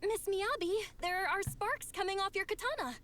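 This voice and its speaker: An actress playing a bright, teasing, excitable young woman in an anime-style video game, expressive and helpful. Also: extremely high pitch, normal speed, slight background noise. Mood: playful teasing. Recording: game music behind.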